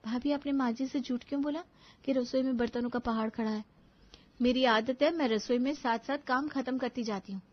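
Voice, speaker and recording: A young cartoon daughter-in-law, played by a woman, high-pitched and whiny. She talks in a protesting, complaining way. The recording is a little dull. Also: fairly even intonation, fairly quick. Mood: puzzled. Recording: dull lo-fi audio.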